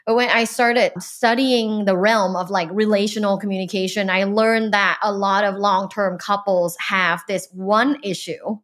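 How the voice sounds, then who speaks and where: A woman's voice: medium pitch, steady tone, unhurried, few pauses, fairly bright tone. A woman from Thailand, a professor who also coaches people on dating. She speaks as a podcast guest in a loose, chatty way, full of "like" fillers.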